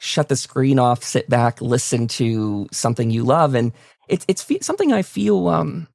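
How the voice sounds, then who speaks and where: Gravelly man hosting a casual interview. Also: medium pitch, lively intonation, normal speed, a little mellow, dry recording, clean studio sound. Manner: enthusiastic, complimentary. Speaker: podcast host interviewing a guest.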